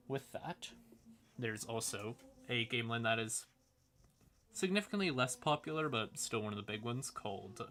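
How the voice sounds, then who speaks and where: A man hosting a livestream, explaining things casually in a folksy, rambling way with asides muttered to himself. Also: mid-range voice. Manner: folksy, uses y'all and howdy. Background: music quietly in the background.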